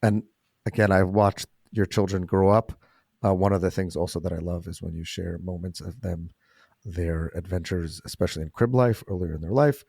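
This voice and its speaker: A man's voice: sits low, steady tone, moderate pace, creaky, darkish tone, no room sound, clean studio sound. A man hosting a podcast, chatting casually and making joking asides. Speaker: podcast host. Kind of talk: casual interview chat.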